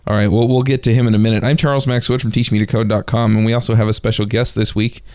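A man's voice: fairly low pitch, flat delivery, quick pace, darkish tone, smooth, no room sound, clean studio sound. A man hosting a podcast panel in a casual, friendly manner, laughing easily. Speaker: podcast host.

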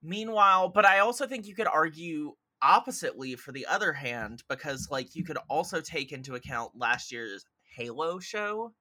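A man's voice: fairly high pitch, expressive, moderate pace, hardly any pauses, sharp tone, dry recording. A man chatting casually as a podcast guest, opinionated and emphatic in the way he talks.

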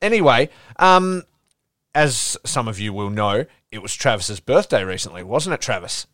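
Man, podcast host, teasing, swears casually, mid pitch, dry. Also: expressive, moderate pace, a bit bright, no room sound. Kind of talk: casual banter. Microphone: video call.

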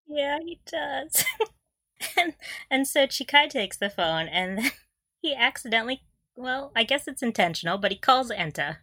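A woman's voice: sits high, animated, normal speed, bright, forceful tone, dry recording, clean studio sound. A woman co-hosting a casual fan podcast, recapping with gushing enthusiasm and frequent giggles. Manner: giggly, often breaking into laughter. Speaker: podcast co-host.

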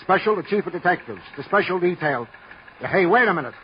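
Harried American police sergeant, slightly high voice, clipped and official, muffled old radio drama with faint noise. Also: male voice, expressive, fairly quick. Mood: brisk, official. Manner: clipped, businesslike phrases. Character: harried, plain-spoken male police sergeant working dispatch. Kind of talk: old-time radio crime drama acting. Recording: dull, noisy old radio recording.

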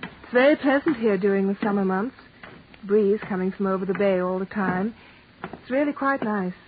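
An actress playing a composed, dryly sardonic British aristocratic wife with clipped politeness, in 1940s radio drama style. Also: female voice, mid-range voice, moderate pace, slight background noise, close to an everyday voice. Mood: coolly polite. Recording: muffled, tinny 1940s radio recording.

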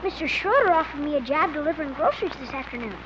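Shy but stubborn little boy, played by a woman, piping high, innocent delivery, old noisy radio comedy recording. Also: animated, racing, highs cut off. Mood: feigned innocence. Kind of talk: 1950s radio situation comedy acting.

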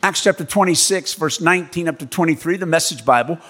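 A man preaching a sermon as pastor and missionary, telling stories with animated delivery and acting out the people in them. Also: a little high, fast talker. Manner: animated storytelling preacher, acts out voices in quotes. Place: church. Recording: long reverb of a church hall.

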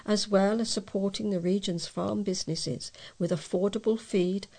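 A woman's voice: mid-range voice, fairly even intonation, moderate pace, dark tone. A woman volunteer newsreader reading local news aloud in an informal, amateur way.

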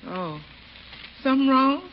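High-voiced, grumbling household cook. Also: female voice, expressive, a little slow. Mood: grumbling, nagging. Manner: nagging, repetitive, folksy. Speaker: supporting actor in a radio comedy. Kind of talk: 1940s radio comedy acting. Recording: dull 1940s radio recording, faint noise.

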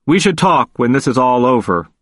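A cautious male prosecutor, read by a male narrator, guarded and measured, keeping his words noncommittal. The recording is dry and close, with no room sound. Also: medium pitch, animated, a bit bright, dry recording.

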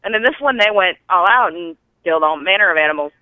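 A woman calling in to a podcast over a thin phone line, giving casual movie feedback in a halting, dryly puzzled way. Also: fairly low pitch, animated, no room sound. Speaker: caller to a podcast. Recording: thin, band-limited telephone line.

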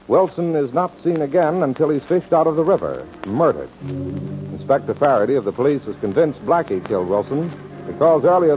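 A male announcer narrates in 1940s radio style. His mid-range voice moves with lively swings, on a dull old recording with music faintly behind. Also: mid-range voice.

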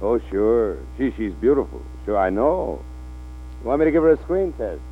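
Fed up movie studio boss, old radio drama, expressive mid voice, tinny and hummy. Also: male voice, medium pitch, moderate pace, a little hiss or hum. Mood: weary, skeptical. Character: weary, exasperated, fatherly male film studio boss. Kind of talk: 1940s radio drama acting.

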